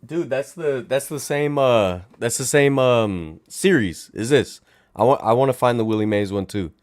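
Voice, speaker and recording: A young man with a raspy voice, hosting a casual late-night livestream from home and chatting playfully with viewers. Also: mid-range voice, normal speed, no room sound, clean studio sound. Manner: animated, playful, talks to chat viewers. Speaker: livestream host.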